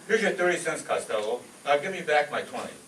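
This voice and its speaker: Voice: medium pitch, lively intonation, fast talker, a bit bright, lightly acted. A performer playing a slick, unflappable male straight man in a vaudeville-style radio comedy routine. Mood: slick, insistent. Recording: echoey distant mic, noisy live stage.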